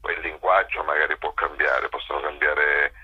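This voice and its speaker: A man's voice: very deep voice, level tone, normal speed, slightly rough, phone-quality sound. A man talking casually and enthusiastically in an interview, in a rambling, colloquial way, as a festival director.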